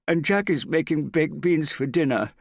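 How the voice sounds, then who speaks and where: A man's voice: a little high, lively intonation, normal speed, gravelly, no echo, studio quality, pitched above the performer's own voice. Man doing a fretful, exasperated girl. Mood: calling out. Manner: fretful, complaining. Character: exasperated, tearful young female character. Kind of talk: audiobook character acting.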